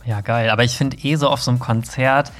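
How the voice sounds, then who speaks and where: A German man co-hosting a podcast in casual conversation. He talks in a relaxed, agreeable way and drops joking asides. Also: medium pitch, fast talker, soft background music. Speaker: podcast co-host.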